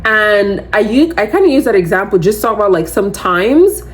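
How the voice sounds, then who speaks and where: A young woman in her twenties with a naturally loud, mid-pitched voice, talking straight to her listeners as a podcast host. She rambles casually, fills her speech with "like", and keeps breaking into lively asides. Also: a little fast, a little hiss or hum. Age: 20s. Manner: chatty, rambling, heavy use of "like"; animated, slips into quoting others. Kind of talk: casual solo chat to camera.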